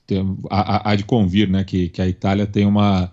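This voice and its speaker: Man, São Paulo accent, fairly low voice, halting, lots of fillers, podcast co-host, dull lo-fi audio. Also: monotone, a little mellow. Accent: Paulistano Brazilian Portuguese. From São Paulo, Brazil. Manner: halting, many fillers and restarts. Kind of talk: casual panel discussion.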